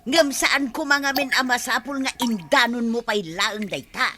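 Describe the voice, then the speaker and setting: A woman's voice: a little high, normal speed, bright, forceful tone, slight background noise. A woman playing a gossipy village woman in an Ilocano comedy drama, whiny, exclamatory and protesting. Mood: indignant.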